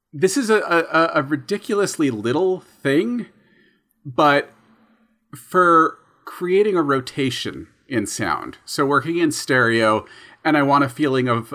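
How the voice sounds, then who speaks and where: A man's voice: medium pitch, sharp tone. A young man co-hosting a casual podcast chat, explaining his sound design habits in a thoughtful, self-deprecating way. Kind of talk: casual conversation.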